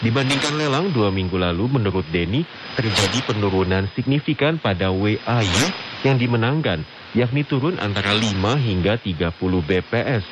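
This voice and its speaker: A man's voice: on the low side, animated, normal speed, background noise. A man reads scripted radio news as a co-host on a shortwave broadcast.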